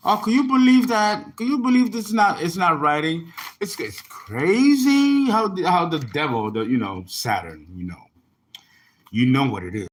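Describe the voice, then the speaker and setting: A man's voice: medium pitch, slight background noise. A man, an astrologer and tarot reader, chatting casually on a livestream and rambling as he reads viewer comments aloud.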